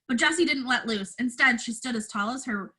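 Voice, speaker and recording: A woman reading a children's chapter book aloud as narrator, in an expressive teacher's storytelling style. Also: fairly high pitch, fairly quick, husky.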